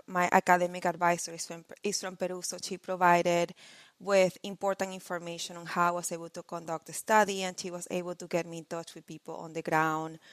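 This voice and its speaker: A woman from Venezuela, a young adult researcher, talks in a somewhat low voice and walks through her work step by step, earnest and explanatory, now and then restarting a phrase. Her voice sounds dry and close to the mic. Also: fairly bright tone, no echo. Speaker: PhD researcher guest. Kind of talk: podcast interview.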